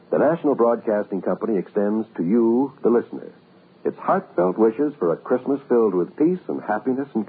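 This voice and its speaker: A man with an American accent making a solemn, stately network announcement in formal 1940s radio style. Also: fairly low pitch. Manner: solemn, stately, rounded phrasing. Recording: narrow, rough old-time radio recording.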